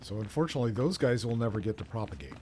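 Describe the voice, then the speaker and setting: A man's voice: medium pitch, sing-song, rapid-fire, darkish tone. A man chatting casually while playing an online game, playfully muttering to himself as he goes. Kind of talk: casual gameplay chat. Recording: clear background noise with game sounds.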